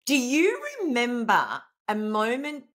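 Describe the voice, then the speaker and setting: Loud, animated woman on a video call. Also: a little high, slow pace. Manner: animated, talks with her hands, laughs easily. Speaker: podcast host. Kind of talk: conversational interview over video call. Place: indoor home room. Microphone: video call webcam.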